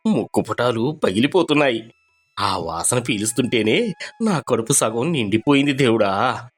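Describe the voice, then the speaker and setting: A man voicing a poor, humble village laborer in an animated story, earnest and expressive. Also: medium pitch, breathy edge. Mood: longing. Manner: expressive, pleading. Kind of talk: cartoon storybook voice acting.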